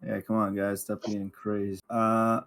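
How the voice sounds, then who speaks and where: A man's voice: lowish voice, level tone, unhurried, crowd noise. A man chatting casually on a group voice call with a noisy connection.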